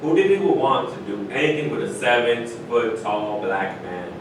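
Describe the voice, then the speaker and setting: A man's voice: moderate pace, lightly acted. A man reading the part of a very tall, boastful, self-assured retired sports star in a staged play. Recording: distant echoey stage recording, rumbling noise.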